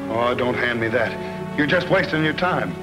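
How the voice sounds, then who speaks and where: A man's voice: medium pitch, animated, moderate pace, natural voice. Actor as anguished werewolf-cursed man, music swelling. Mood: dismissive. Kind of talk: 1940s horror film acting. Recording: old film soundtrack with loud music.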